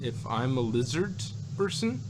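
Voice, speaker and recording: A man's voice: mid-range voice, very animated, unhurried. Male co-host, casual review chat, wry and hesitant, leisurely pace, noisy recording. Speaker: podcast co-host. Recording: clear background noise.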